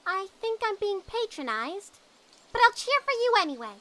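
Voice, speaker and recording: A woman's voice: extremely high pitch, very animated, normal speed, pushed and bright, slight background noise, exaggerated voice. A woman voicing a small young dragon in a fan dub, loyal, cheery and a little huffy.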